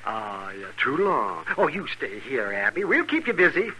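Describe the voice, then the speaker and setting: An actor playing a chatty, fussy, good-natured frontier doctor in a 1950s radio western, folksy and rambling. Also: male voice, mid-range voice, sing-song, normal speed, sharp tone. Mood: jovial, fussy. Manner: chuckling, folksy, flustered rambling. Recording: noisy old radio broadcast recording.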